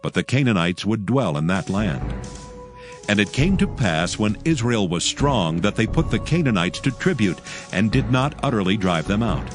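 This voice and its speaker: A man's voice: fairly low pitch, expressive, normal speed, over music. A man narrating scripture from a script in a solemn, dramatic storytelling manner.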